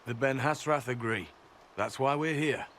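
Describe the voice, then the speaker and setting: A man's voice: mid-range voice, normal speed, a bit bright, a little hiss or hum, natural voice. Man playing a composed, earnest fantasy agent. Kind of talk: video game dialogue.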